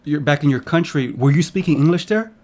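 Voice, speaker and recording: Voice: medium pitch, expressive, quick pace, a little mellow. Curious, chatty interviewer. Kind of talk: casual interview chat.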